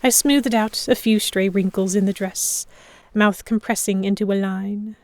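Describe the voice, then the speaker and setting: A sharp-tongued heroine voiced by a woman narrates a pirate audio drama in the first person. Her pitch is mid-range and the sound is dry, with no room around it. She comes across as stubborn and proud. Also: steady tone, a little slow, dry recording, close to an everyday voice. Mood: irritated.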